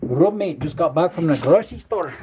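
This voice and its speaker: A man's voice: on the high side, lively intonation, brisk, background noise. A man hosting a casual livestream, rambling and joking while greeting viewers in the chat. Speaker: livestream host.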